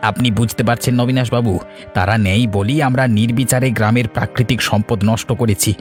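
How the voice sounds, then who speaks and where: A man's voice: mid-range voice, steady tone, fast talker, soft background music, natural voice. An actor playing a landlord's loyal, repentant henchman in a moral-tale cartoon, explaining things earnestly.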